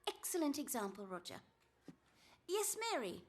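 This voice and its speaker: A woman's voice: on the high side, very animated, normal speed. A woman voicing a prim, encouraging schoolteacher addressing a class in a cartoon. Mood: patient. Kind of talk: classroom lesson acting.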